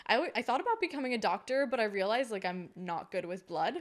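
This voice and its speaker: A woman's voice: a little high, expressive, fast talker. Chatty woman with a mixed Welsh-Canadian accent. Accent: mixed Welsh and Canadian English. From India. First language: Kannada. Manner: chatty, laughs often, many fillers. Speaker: startup founder, interview guest. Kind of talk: casual interview conversation.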